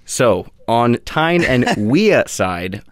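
A man's voice: mid-range voice, sing-song, extremely slow. A man interviewing a guest, asking earnest, slightly formal questions. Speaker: producer, interviewer.

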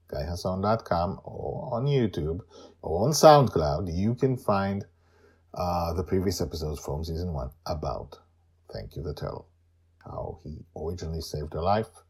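A man's voice: deep voice, darkish tone, breathy edge. A man hosting and telling a story in a theatrical, emphatic way, with asides to his listeners. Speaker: storyteller and host.

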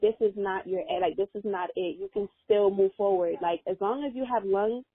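A woman's voice: medium pitch, moderate pace, breathy edge. Earnest young woman on a tinny line. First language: Spanish. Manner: earnest, rambling, many "like" fillers. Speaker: interview guest, model. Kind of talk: casual interview. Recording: dry, tinny phone-like audio.

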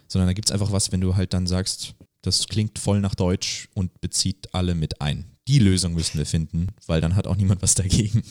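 A man's voice: low-pitched, no echo, clean studio sound. A man co-hosting a podcast in casual, informal chat, dropping in English slang. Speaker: podcast co-host.

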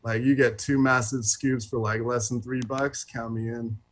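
A man's voice: mid-range voice, level tone, normal speed, fairly bright tone. A man in his twenties with a Californian accent, a podcast guest chatting casually in an easygoing, slangy way. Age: 20s. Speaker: podcast guest, professional beach volleyball player. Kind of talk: casual chat.

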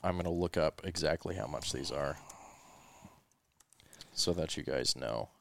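A man hosting a podcast, explaining gear in a casual, relaxed, rambling way with plenty of fillers and a smooth voice. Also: rumbling low, a little slow, a little hiss or hum. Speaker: podcast host.

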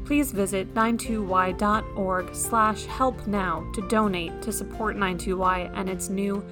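A woman's voice: mid-range voice, steady tone, a little slow. A woman reading scripted podcast credits as an announcer. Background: music.

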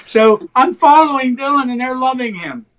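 An older man whose first language is Slovak, telling rambling stories as a poet being interviewed and getting animated in the anecdotes. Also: extremely high pitch, moderate pace, low-quality recording. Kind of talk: conversational storytelling interview.

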